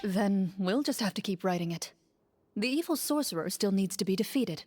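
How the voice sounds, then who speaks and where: Composed, firm huntress over music. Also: female voice, medium pitch, slightly rough. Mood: composed, reassuring. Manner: calm, measured, firm. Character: composed, noble, veteran woman monster hunter and swordswoman. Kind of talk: scripted fantasy game dialogue. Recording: music playing under the voice.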